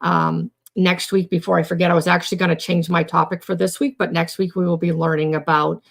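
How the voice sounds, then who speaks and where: A woman with a low, hoarse, gravelly voice hosts a casual live stream as a trauma coach. She rambles through her points, full of ums and restarts, sounding worn out from days of recording. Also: little pitch movement, brisk. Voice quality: raspy. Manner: rambling, many fillers and restarts. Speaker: trauma coach hosting a weekly live show. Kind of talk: informal live-stream teaching.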